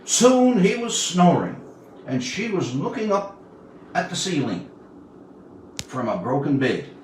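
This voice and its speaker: Older man reading a story, high-pitched and expressive. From Newfoundland, Canada. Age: 70s. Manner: measured, expressive, dramatic pauses. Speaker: author reading his own story. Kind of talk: storytelling, literary reading aloud. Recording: roomy, distant mic, faint background noise.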